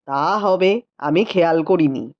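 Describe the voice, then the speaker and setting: A woman's voice: fairly low pitch, slow, highs cut off, pitched below the performer's own voice. A woman voicing a learned, caring husband with a formal, questioning manner in a storybook reading. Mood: tired, teasing. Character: learned physician husband, adult man, caring, puzzled.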